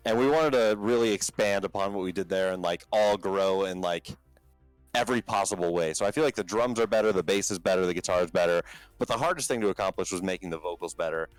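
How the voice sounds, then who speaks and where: Low-voiced man rambling with fillers. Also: fast talker, dry recording, soft background music, low-quality recording. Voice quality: low talking voice. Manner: rambling, filler-heavy, many restarts. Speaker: band vocalist guest. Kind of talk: casual podcast chat.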